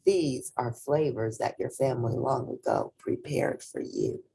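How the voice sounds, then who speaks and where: A woman voicing an elderly Filipino grandmother in a storybook, firm, caring and gently instructive. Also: extremely low pitch, unhurried, creaky, a little hiss or hum, full character voice. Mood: earnest, instructive.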